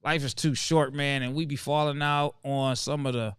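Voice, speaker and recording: Chill guy hosting a livestream, smooth clear voice, dry close sound. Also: medium pitch, fairly even intonation, no echo. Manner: laid-back, calls out viewers by name, drawn-out emphasis. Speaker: livestream host. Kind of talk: casual livestream chat.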